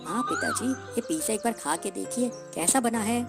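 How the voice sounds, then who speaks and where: A woman voicing a devoted, hardworking young female bird, speaking softly and earnestly in a cartoon story style. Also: fairly high pitch, huge pitch swings, moderate pace, vocal fry, over music, pitched above the performer's own voice, exaggerated voice. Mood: eager.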